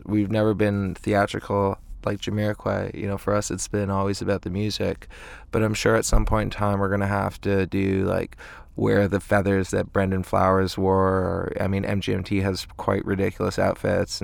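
Man, creaky voice, dry close sound, hesitant casual musician. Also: sits low, flat delivery, normal speed, no room sound. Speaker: band frontman, interview guest. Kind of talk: casual chat. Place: inside a parked tour van.

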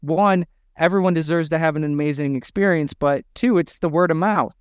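A man's voice: fairly high pitch, a little fast, clean tone, dry recording, studio quality. A man co-hosting a podcast, talking about running a business in an emphatic, conversational way. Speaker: podcast co-host. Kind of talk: conversational business coaching talk.

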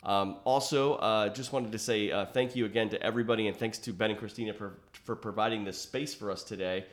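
Rambling middle-aged podcast host. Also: male voice, lowish voice, fairly even intonation, brisk. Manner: rambling, with many uh fillers and restarts. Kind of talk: conversational podcast interview.

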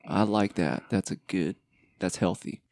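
Low gravelly man, laid-back podcast host. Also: a little slow, darkish tone, no echo, clean studio sound. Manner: laid-back, swears, says "dude" a lot. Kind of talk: casual chat.

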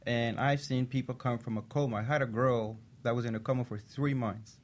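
Guy on a talk show telling his story, earnest and steady, mid-pitched voice. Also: a little fast. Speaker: physician assistant guest.

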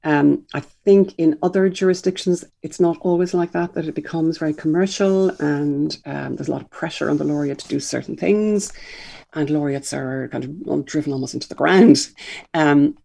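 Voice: medium pitch, moderate pace, vocal fry, warm tone. An older author and publisher with an Irish accent, speaking thoughtfully in an interview, with frequent ums and restarts. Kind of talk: reflective interview conversation.

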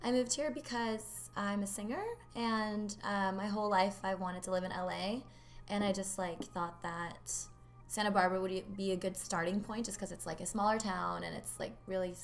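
Gravelly-voiced young woman from Norway. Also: medium pitch, steady tone, normal speed, a little hiss or hum. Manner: chatty, many "like" and "um" fillers. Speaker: guest, local singer. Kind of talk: casual interview chat.

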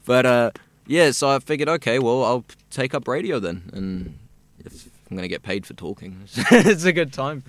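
A man's voice: medium pitch, very animated, normal speed, dry recording. A young man from South Africa, a radio student chatting easily and at length in an interview. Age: young adult. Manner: chatty, rambling and easygoing. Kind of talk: casual interview chat.

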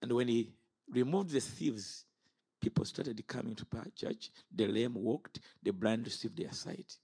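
A man's voice: mid-range voice, unhurried, dark tone, husky. A man in his fifties from Uganda preaching an impassioned sermon. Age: 50s. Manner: impassioned, rises and falls sharply.

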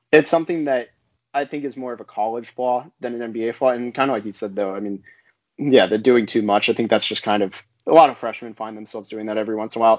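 A man's voice: medium pitch, no echo. A man with a mid-range voice gives casual basketball analysis in a loose, conversational way, with plenty of "I mean" and "like". The recording is dry and close, with a slightly dull, lo-fi sound. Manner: conversational, many fillers like "I mean" and "like". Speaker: basketball podcast co-host. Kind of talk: casual sports analysis chat.

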